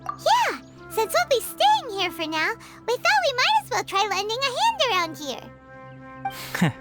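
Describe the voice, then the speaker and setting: A woman voicing a tiny childlike flying fairy companion in a video game, squeaky, chatty and inquisitive. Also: huge pitch swings, unhurried, a bit bright, full character voice. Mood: eager. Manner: chatty, inquisitive, refers to self by name. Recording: music behind the voice.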